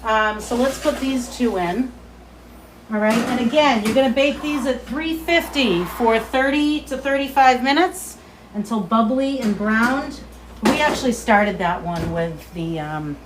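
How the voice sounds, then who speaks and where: A woman with a light Boston accent hosting a live cooking demo from her kitchen, chatty and excitable as she talks to viewers. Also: a little high, background noise. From Boston area. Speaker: cooking show host. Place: home kitchen, indoors.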